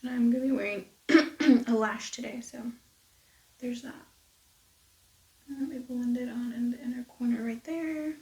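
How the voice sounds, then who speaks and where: A woman losing her voice, chatting casually and rambling through a makeup tutorial with soft near-whispered asides. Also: a little high, steady tone, moderate pace, long pauses, reverberant, rough recording. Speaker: beauty vlogger. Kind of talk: casual get-ready-with-me makeup chat. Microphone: close mic at desk.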